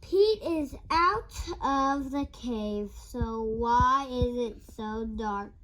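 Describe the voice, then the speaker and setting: A young girl reading a picture book aloud in a halting, word-by-word way. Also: on the high side, a bit bright, rough recording. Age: child. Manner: halting, word-by-word early-reader delivery. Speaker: young reader.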